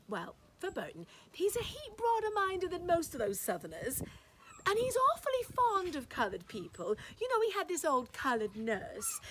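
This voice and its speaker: A woman's voice: sits high, a bit bright, slight background noise, exaggerated voice. A woman voicing a gushing, condescending society lady at a party, breathless and fluttery, with giggles and gasps, in a dramatic short-story reading.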